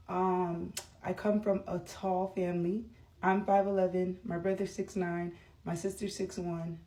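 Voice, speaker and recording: A woman's voice: fairly low pitch, mellow, recorded from a distance. A woman in her thirties chatting casually to camera while doing her makeup, rambling with lots of fillers. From United States. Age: 30s. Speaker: vlogger.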